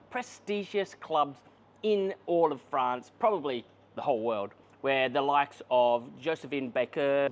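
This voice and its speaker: A young adult man with an Australian accent, reviewing a coffee machine to camera in an enthusiastic, explanatory, chatty way. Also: on the high side.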